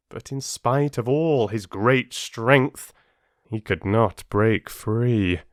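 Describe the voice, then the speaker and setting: A man reading aloud as an audiobook host, with an expressive, measured storytelling manner. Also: lowish voice, no room sound, clean studio sound. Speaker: audiobook reader and channel host. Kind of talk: children's story narration.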